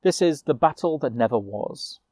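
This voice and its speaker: A man telling a fantasy tale in character, moving between informal asides and measured narration. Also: mid-range voice, expressive, warm tone, no echo. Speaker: storyteller.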